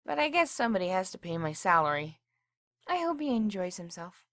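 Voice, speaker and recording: Audio story: female actor as small-town hotel clerk, mid-pitched, chatty and mildly judgmental, close dry recording. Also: big pitch swings, a little fast, a bit bright, no room sound. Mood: wry, gossipy. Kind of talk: first-person diary narration in an audio story.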